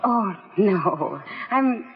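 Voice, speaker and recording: A woman's voice: medium pitch, animated, drawn-out words, husky, heavily acted. A woman acting in a 1940s radio drama as a demure young femme fatale feigning innocence, with a lilting, hesitant voice. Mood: demure, admiring. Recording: dull vintage radio recording, long reverb.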